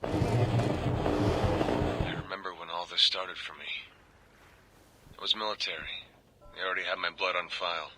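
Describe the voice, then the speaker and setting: An actor playing a grim, guilt-ridden military agent who confides in a video game audio log, grave and weary. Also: male voice, deep voice, steady tone, normal speed, pauses often, music in the background, close to an everyday voice. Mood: bitter reflection. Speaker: soldier turned government agent.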